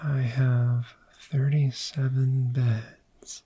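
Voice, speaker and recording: A man voicing a friendly, gentle dragon in a soft-spoken, soothing ASMR roleplay, with a breathy whisper and long pauses. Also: mid-range voice, level tone, no room sound. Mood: cozy, welcoming. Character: friendly, gentle cave dragon fond of sleep.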